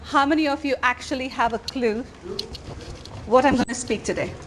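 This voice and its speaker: A woman's voice: high voice, normal speed, noisy background. A woman from India giving an interactive mindfulness talk to parents in a school auditorium, encouraging and asking the audience questions. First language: an Indian language. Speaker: mindfulness coach. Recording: echoey hall, faint room ambience.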